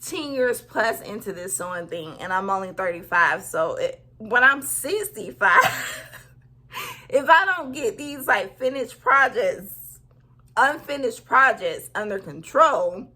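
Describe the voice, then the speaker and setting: A woman's voice: medium pitch, expressive, takes it slowly, pushed and bright. A woman in her thirties, a sewing vlogger, chatting casually and giggling often as she talks to camera. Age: 30s. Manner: giggly, bubbly, lots of "like". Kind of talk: casual chat.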